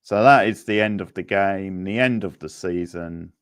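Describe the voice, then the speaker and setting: A man casually hosting a live quiz, reading out questions, options and scores in a halting way. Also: low-pitched, smooth, dry recording, clean studio sound. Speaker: quiz host.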